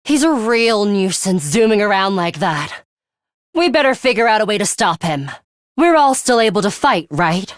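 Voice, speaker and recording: A woman's voice: medium pitch, big pitch swings, moderate pace, dry recording, clean studio sound, lightly acted. A woman voicing a cold, ruthless young female fighter in an English video game, composed, blunt and commanding, with a bloodthirsty streak. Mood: composed, serious.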